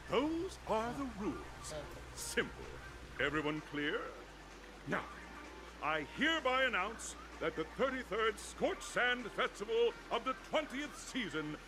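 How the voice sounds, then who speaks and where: Showy festival announcer. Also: male voice, sits high, noisy background, exaggerated voice. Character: showy male festival announcer, theatrical and upbeat. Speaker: event announcer. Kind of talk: studio game voice acting. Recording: game audio with loud music.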